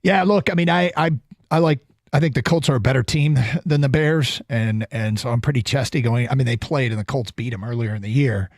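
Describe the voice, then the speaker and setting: A man's voice: mid-range voice, a little fast, dry recording, studio quality. A man hosting a sports talk radio show, chatting casually and taking calls. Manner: conversational, takes calls. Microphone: studio microphone.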